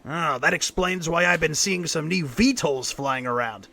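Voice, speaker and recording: A man's voice: on the high side, moderate pace, vocal fry, pushed and bright. A man acting as a dry, skeptical colleague in an audio drama. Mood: dry, casual.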